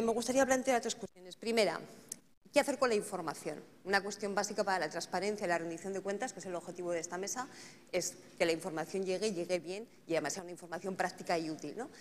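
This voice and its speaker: A woman's voice: mid-range voice, slight background noise. Woman moderating a panel in an echoey hall. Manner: reflective, thinks aloud with tag questions. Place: conference hall. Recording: conference hall reverb, faint ambience.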